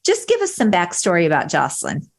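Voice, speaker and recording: A woman's voice: lowish voice, huge pitch swings, fast talker, vocal fry. A woman hosting a business podcast, upbeat and enthusiastic as she introduces her guest. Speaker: podcast host.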